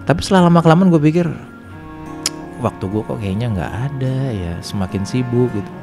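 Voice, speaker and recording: A man's voice: mid-range voice, lively intonation, a little fast, loud music behind the voice. A man with a Jakarta Indonesian accent telling his own story in a casual, slangy podcast conversation.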